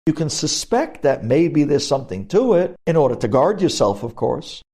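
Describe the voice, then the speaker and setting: A man's voice: fairly high pitch, very animated, moderate pace, warm tone, husky. A man giving a religious lesson as a rabbi, explaining emphatically and posing questions that he then answers. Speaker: rabbi teaching religious law.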